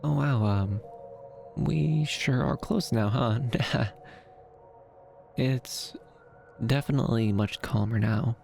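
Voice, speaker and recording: A man playing a shy, gentle young dream fox companion, speaking softly and hesitantly in a soothing ASMR roleplay. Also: mid-range voice, takes it slowly, creaky, long pauses, soft background music. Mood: bashful, tender. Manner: soft-spoken, bashful, hesitant, soothing. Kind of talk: ASMR roleplay, soft comforting monologue.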